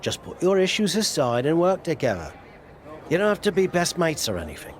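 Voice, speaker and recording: A man's voice: fairly high pitch, wildly swooping pitch, a little fast. A man playing a wry, blunt older wrestler turned manager in video game cutscene dialogue. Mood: firm, coaxing.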